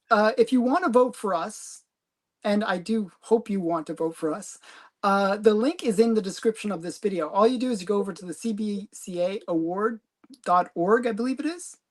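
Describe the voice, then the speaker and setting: A woman's voice: medium pitch, normal speed. A woman hosting a casual comic collecting livestream, chatty and rambling, giggling often. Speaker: host of a comic collecting channel.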